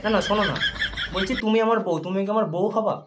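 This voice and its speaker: A man's voice: high voice, brisk, a bit bright, slight background noise. A man chatting casually as a playful, insistent prank caller. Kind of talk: casual comic phone prank chat. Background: music.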